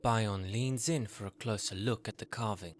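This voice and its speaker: A man narrating a scripted fantasy audio drama in a measured storytelling manner. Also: fairly low pitch, a little hiss or hum.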